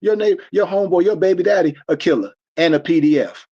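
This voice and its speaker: A man's voice: sits high, quick pace, mellow, husky. Man in his forties preaching on a lo-fi stream. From United States. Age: 40s. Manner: rambling and emphatic, many restarts and repeated fillers. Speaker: host of an online teaching stream. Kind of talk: casual preaching lecture.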